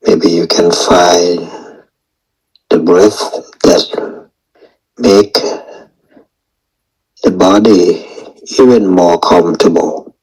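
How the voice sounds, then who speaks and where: A man teaching guided meditation in non-native English phrasing, speaking in short, halting phrases with long pauses. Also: low voice, fairly even intonation, vocal fry, a little mellow, breathy edge, low-quality recording. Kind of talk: guided meditation and dharma talk.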